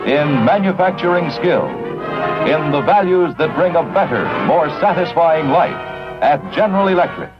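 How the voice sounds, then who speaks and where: A man delivers a sponsor announcement in measured, declamatory 1950s television style. His voice sits slightly high. Loud brass music plays behind him on a dull old broadcast recording. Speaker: commercial announcer. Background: loud brass fanfare.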